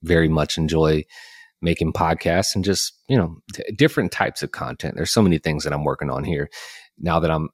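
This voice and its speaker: A man in his thirties from Alabama hosting a podcast, joking and relaxed, often repeating his words. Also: very deep voice, a little fast, no echo, studio quality. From Alabama, USA. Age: 30s. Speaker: podcast host. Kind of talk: casual chat. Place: cigar lounge.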